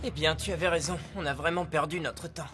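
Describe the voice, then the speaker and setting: A woman's voice: sits low, fairly even intonation, brisk, noisy background, close to an everyday voice. An actress playing a determined, plucky young female team leader in a dubbed cartoon. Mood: curious. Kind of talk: cartoon dub acting.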